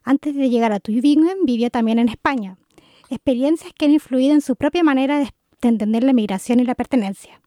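A woman's voice: high-pitched, mellow, no room sound, clean studio sound. A woman hosting a radio programme, reading a scripted introduction to an interview. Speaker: radio host.

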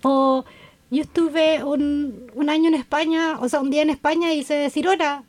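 A woman's voice: sits high, steady tone, moderate pace, a little mellow, dry recording. A woman from Chile with a Chilean Spanish accent who hosts a casual conversation and asks curious follow-up questions. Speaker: host.

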